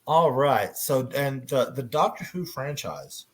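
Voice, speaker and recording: Halting male panel moderator. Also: medium pitch, animated, a bit bright. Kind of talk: casual panel discussion.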